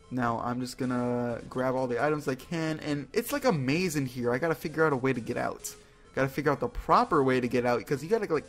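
A man hosting a video game playthrough with casual, rambling, playful commentary, slangy and often repeating his phrases. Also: mid-range voice.

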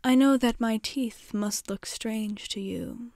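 A woman playing a poised, worldly female vampire bartender in an ASMR roleplay, speaking in a measured, formal, teasing way with whispery breathiness. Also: a little high, a little mellow, dry recording, clean studio sound. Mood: reassuring, intimate.

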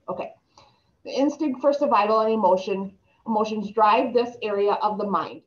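A woman's voice: mid-range voice, lo-fi sound. A young woman with a slight lisp reads an article aloud from slides on a video call, stumbling now and then. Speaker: presenter reading an article aloud. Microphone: laptop mic on a video call.

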